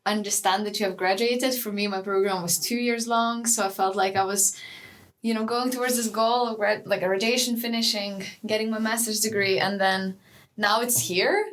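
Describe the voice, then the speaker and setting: A woman's voice: medium pitch, fairly even intonation, moderate pace. A young woman from Lithuania, a graduating master's student, talking cheerfully as a podcast guest. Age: young adult. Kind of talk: casual podcast interview.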